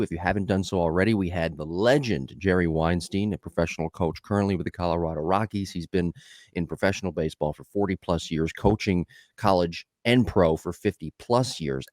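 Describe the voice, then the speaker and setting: A low-voiced man hosts a podcast in a chatty, upbeat way, often wandering off topic. His voice is recorded close and dry, with no room sound. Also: darkish tone, no room sound. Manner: upbeat, talkative, tangent-prone. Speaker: podcast host. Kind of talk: casual podcast chat.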